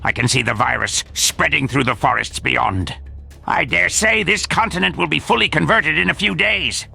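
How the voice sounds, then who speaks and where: Raspy, creaking old villain master. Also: male voice, on the high side, moderate pace, pushed and bright, faint music behind, heavily acted. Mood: commanding. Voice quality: very gravelly, raspy. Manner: grandiose, formal.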